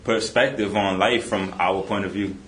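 A man's voice: low-pitched, normal speed, echoey room, a little hiss or hum, poor audio. A man of twenty-two, co-hosting a casual conversation about faith and talking loosely with lots of fillers. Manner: relaxed, many "you know" fillers. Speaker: podcast co-host.

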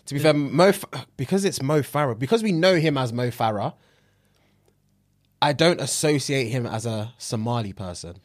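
A man's voice: mid-range voice, expressive, normal speed, no echo, clean studio sound. A man with a London British accent, co-hosting a podcast in a casual, slangy conversational way, repeating phrases for emphasis. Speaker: podcast co-host.

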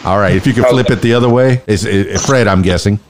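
A man hosting a podcast as a radio DJ, playful showman patter with big swings in pitch for emphasis. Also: fast, background noise. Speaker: podcast host and DJ. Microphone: studio mic.

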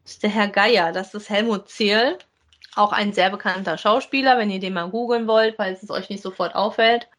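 A woman's voice: mid-range voice, fairly even intonation, moderate pace, a bit bright. A woman co-hosting a podcast, talking informally and conversationally. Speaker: podcast co-host. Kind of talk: casual chat about audio drama voice actors.

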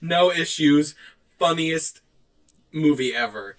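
A man co-hosting a podcast in casual chat, animated and quick to laugh. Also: a little high. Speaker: podcast co-host. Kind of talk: casual chat, reading out online reviews.

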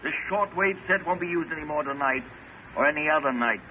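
Actor as tough high-pitched American counterspy. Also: male voice, lively intonation, brisk, close to an everyday voice. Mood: stern, commanding. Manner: barking orders. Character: tough, commanding American government counterspy making arrest. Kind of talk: 1940s radio acting. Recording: tinny, noisy old radio recording.

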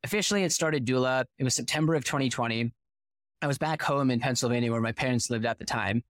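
Man, 30s, founder-guest from Boston, mid-pitched, fluent storyteller, dry close sound. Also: fast talker, dry recording. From United States (Boston). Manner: fluent, animated storyteller who poses rhetorical questions. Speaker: podcast guest, company founder. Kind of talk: casual interview storytelling.

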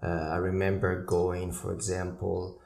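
A man with a very deep, gravelly voice answers interview questions. He talks in a relaxed way, stopping to correct himself. The room is echoey, with a faint hiss behind him. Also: unhurried. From northern Italy. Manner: relaxed, recalls his past with fillers and restarts. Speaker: guest painter.

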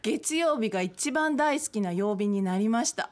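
A woman with a fairly high voice and very animated intonation. She chats casually as a guest on a radio show, eager and gushing in the way of a devoted fan. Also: moderate pace. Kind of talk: casual chat.

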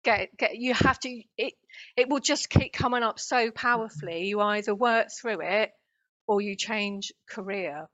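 A woman's voice: mid-range voice, measured pace, muffled recording. Chatty British woman in her fifties. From Great Britain. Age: 50s. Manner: chatty, animated storytelling. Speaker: spiritual worker, guest. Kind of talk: casual conversational interview.

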